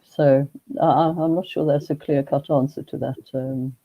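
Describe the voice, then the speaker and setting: Man, halting measured guest, monotone, dry room, muffled lo-fi audio. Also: fairly high pitch, no echo. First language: Swedish. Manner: halting, measured, many restarts. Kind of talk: casual conversation.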